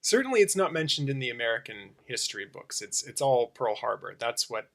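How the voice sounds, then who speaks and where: A man co-hosts a casual film conversation. His medium-pitched voice moves up and down a lot as he asks questions and comments. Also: big pitch swings, a little fast, gravelly, fairly bright tone. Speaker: podcast co-host asking questions.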